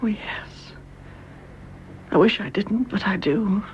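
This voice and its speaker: Radio drama: actress as fervent, emotional young English widow, halting delivery, muffled with faint noise. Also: female voice, mid-range voice, natural voice. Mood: frightened, anguished. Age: young adult. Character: anguished young adulterous widow, honest and emotional. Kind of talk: British radio drama acting.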